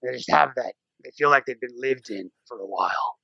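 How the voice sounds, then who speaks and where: An American man talks casually as a podcast guest, irreverent and animated. His voice is bright and clear. The audio is close and dry, though a little dull. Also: medium pitch, fairly quick, no room sound. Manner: animated, irreverent, swears, acts out little quotes. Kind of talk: casual interview chat.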